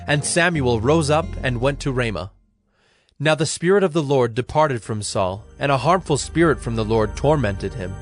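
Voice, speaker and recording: A man narrating a scripted Bible story in a formal, measured storytelling manner. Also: medium pitch, expressive. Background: music.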